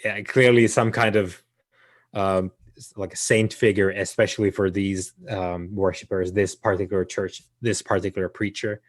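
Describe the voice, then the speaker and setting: A man narrating as game master of a tabletop game, hoarse and losing his voice, hesitant with many fillers. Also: deep voice, little pitch movement, normal speed.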